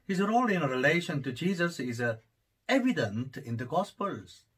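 A priest in his forties with a Korean accent gives a homily to camera in his study. He speaks unhurriedly, pauses often on fillers, and grows more fervent at times. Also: a little high, very animated, fairly bright tone, husky. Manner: unhurried, many hesitations, rising fervor. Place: study with bookshelves, indoors. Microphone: camera at desk distance.

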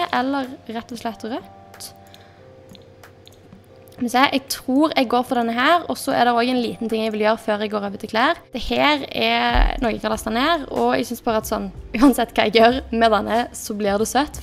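A young woman with a slightly high voice chats through a gameplay session, thinking aloud as she makes her choices. She speaks into a desk mic in her bedroom, with soft music playing underneath. The delivery is relaxed and conversational. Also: vocal fry. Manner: chatty, thinking aloud while clicking through options. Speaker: gaming YouTuber. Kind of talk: casual gameplay commentary.

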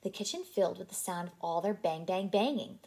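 A woman reading a picture book aloud to children in an expressive way, as a childcare teacher who puts questions to her listeners. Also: fairly low pitch, a little fast.

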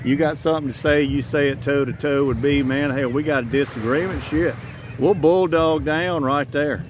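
A man's voice: on the high side, normal speed. Blunt Southern man vlogging outdoors. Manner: blunt, profane, rambling with many "you know"s. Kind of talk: casual vlog monologue. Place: outdoors on a tropical beach. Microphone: phone camera at arm's length. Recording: outdoor phone audio with clear ambient noise.

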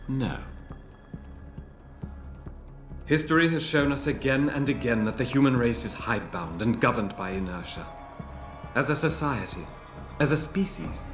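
An actor playing an aging, crippled visionary inventor in a video game, eloquent and theatrical, grandiose, bitter and anguished. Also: male voice, mid-range voice, lightly acted. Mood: grim conviction. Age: older. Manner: eloquent, theatrical, deliberate oratory. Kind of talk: video game cutscene acting. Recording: roomy game audio with faint music.

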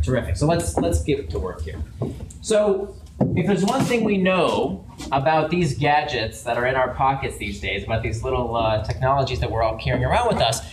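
A man giving a conversational talk to a live audience in a large open event space, asking the crowd questions as he goes. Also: mid-range voice. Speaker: guest speaker. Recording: echoey room with background noise.

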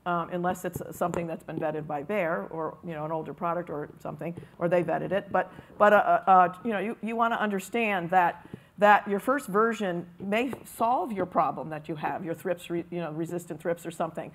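A woman on a conference panel explains at length, stopping and restarting her sentences. Her voice sits on the low side. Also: steady tone, slight background noise. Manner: halting, restarts often, explanatory. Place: conference room.

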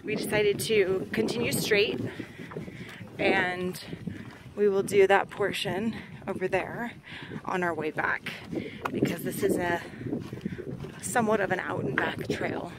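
A woman's voice: mid-range voice, fairly even intonation, slow pace, pauses often. A young woman casually narrating a hike outdoors, chatty and full of fillers, filmed with a camera at arm's length. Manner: chatty, pointing things out, filler-heavy. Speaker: vlogger hiking on her honeymoon. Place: outdoors on a boardwalk trail. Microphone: camera held at arm's length. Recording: outdoor camera audio with wind noise.

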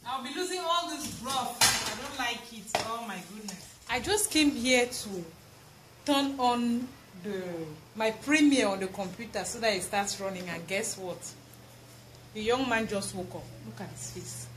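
Woman, thirties, Cameroonian accent, casual expressive vlog chat, roomy sound, background noise. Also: on the high side, a little slow. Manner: expressive, exclamatory, chatty. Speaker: home vlogger. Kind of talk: casual chat to camera while doing housework. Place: tiled home kitchen, indoors. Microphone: camera or phone at arm's length and further. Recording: echoey tiled room with clear noise.

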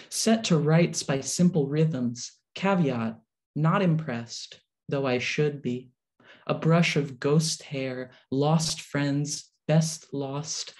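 A woman poet reading poetry in a measured, deliberate manner over a video call. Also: sits low, mellow. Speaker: poet reading own work. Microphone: video call microphone.

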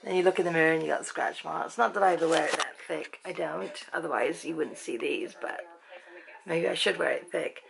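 A woman in her fifties from Britain with an English accent, chatting to a handheld camera in a dim room at night. Her voice is croaky, and she rambles and laughs often. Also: sits low, brisk, crowd noise, poor audio. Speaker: vlogger. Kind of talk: casual chat to camera. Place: bedroom at night, dim lamp light. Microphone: handheld camera at arm's length.

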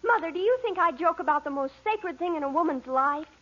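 A gushing, lovestruck teenage daughter with a very high voice, earnest and easily offended. It is 1940s radio comedy, muffled and clearly noisy. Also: female voice, lively intonation, brisk. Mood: hurt, indignant. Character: dreamy, melodramatic seventeen-year-old daughter in love.

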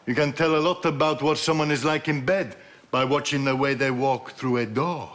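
A man's voice: medium pitch, fairly even intonation, normal speed, natural voice. An actor playing a deluded, boastful alpha male office worker in a sitcom. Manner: pompous, boastful, preening. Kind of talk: sitcom acting. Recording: loud audience and background noise.

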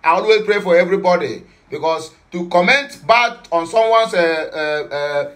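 A man's voice: sits high, normal speed, fairly bright tone. A man with a Nigerian accent hosting a casual news commentary from a home studio, playful and mixing in Pidgin and Igbo. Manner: playful, switches between English, Pidgin and Igbo.

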